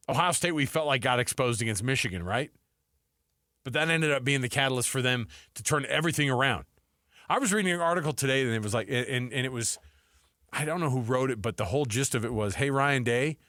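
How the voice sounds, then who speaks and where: A man with a medium-pitched voice talks sports as a radio co-host. His delivery is casual and animated, often slipping into imagined quotes. The sound is very dry, with little room. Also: no room sound.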